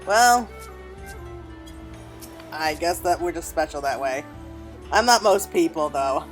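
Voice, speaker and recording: A chatty woman streaming a game with a casual, conversational delivery. She drops playful asides in a slightly low voice, with music playing behind her. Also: expressive, slow pace, low-quality recording. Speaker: gameplay streamer.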